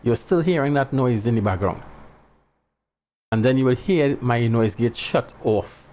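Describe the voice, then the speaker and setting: A man in his thirties, hosting his channel, calmly explaining a microphone noise test to viewers on a headset mic in a small home room. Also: medium pitch, unhurried. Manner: plain, explanatory, talks straight to viewers. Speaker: channel host. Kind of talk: casual tech demo talk. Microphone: headset boom mic. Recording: faint background birdsong noise. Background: faint birds chirping.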